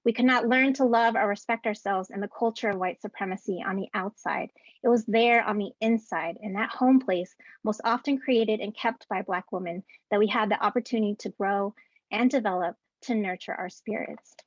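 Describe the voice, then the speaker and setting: A woman in her thirties, an educator, giving a prepared ceremony speech from home over a video call in an earnest, deliberate way, reading out rhythmic lists. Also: medium pitch, dry recording. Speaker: keynote speaker and educator. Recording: dull, dry video-call audio.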